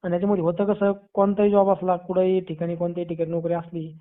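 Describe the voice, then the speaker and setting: High-voiced Marathi man on a phone line. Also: level tone, quick pace. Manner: advising, reassuring, repeats phrases. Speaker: friend giving reassurance. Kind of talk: casual phone conversation. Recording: narrow tinny phone line.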